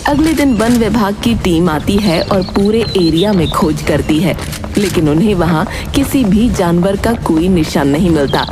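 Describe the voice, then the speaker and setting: A woman's voice: on the low side, lively intonation, moderate pace. A woman narrating a scripted horror cartoon story over music.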